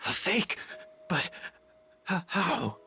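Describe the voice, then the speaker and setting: A man playing a weary, despairing figure in a dramatic video game, breathy and halting. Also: high-pitched, big pitch swings, bright, forceful tone, soft background music, full character voice. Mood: stunned despair.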